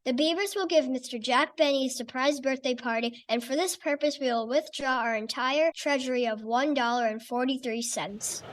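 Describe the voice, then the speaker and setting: A woman's voice: high voice, normal speed, few pauses, natural voice. A woman playing a bossy, self-important young boy who runs a kids' club in a radio comedy recreation. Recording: distant, noisy recording.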